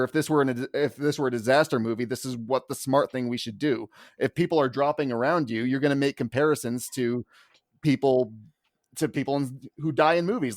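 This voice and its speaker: A man casually arguing as the defense in a comic podcast debate, quick to contradict. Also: mid-range voice, dry recording, studio quality.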